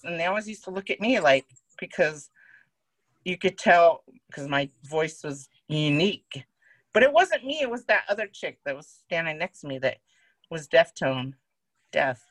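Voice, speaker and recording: A woman with a deeper voice co-hosting a podcast, telling stories about herself in a self-deprecating way. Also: fairly bright tone, dry recording, low-quality recording. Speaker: podcast co-host. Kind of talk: casual chat.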